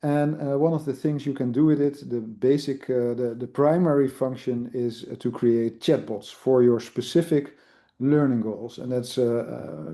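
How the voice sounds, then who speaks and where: A man's voice: medium pitch, warm tone. A man with a Dutch accent giving a casual live online demo, easygoing and full of fillers. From Netherlands. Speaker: presenter, university IT product owner.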